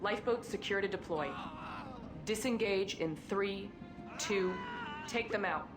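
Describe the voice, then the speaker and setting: A woman's voice: medium pitch, a little slow, over music, lightly acted. A woman playing a composed military flight officer in a video game, giving clipped, procedural callouts. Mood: focused.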